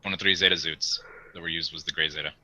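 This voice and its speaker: A man's voice: a bass voice, steady tone, very fast, pushed and bright. A young man chatting casually and in a rambling way as a podcast host. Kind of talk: casual chat.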